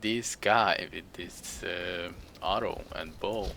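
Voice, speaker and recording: Hungarian guy talking over his game, deep voice with lots of fry, rambly and jokey about himself, non-native english. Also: fairly even intonation, slow, noisy background. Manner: rambling, chuckling, self-deprecating. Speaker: game streamer. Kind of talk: casual gameplay commentary.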